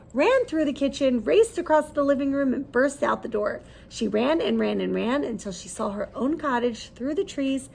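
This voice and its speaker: A woman's voice: on the high side, vocal fry, darkish tone, a little hiss or hum. A woman reading a children's story aloud expressively, putting questions to her listeners as she goes.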